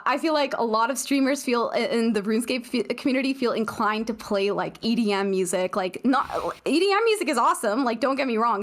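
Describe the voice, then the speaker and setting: A young woman from Canada, a guest on a streaming podcast, talking casually and rambling with many fillers and restarts. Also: on the high side.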